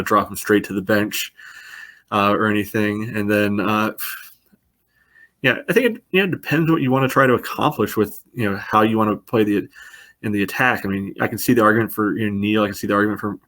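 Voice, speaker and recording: A man casually analysing sport as a podcast host, in a halting way peppered with filler phrases. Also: lowish voice, steady tone, bright, forceful tone. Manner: halting, repeatedly peppers speech with "you know".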